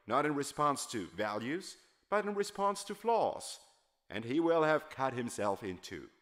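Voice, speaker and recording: A man's voice: fairly high pitch, a bit bright. A man giving a dramatic, scripted audiobook reading of a character's monologue in an emphatic, oratorical manner. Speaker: literary reader performing a novel character's monologue.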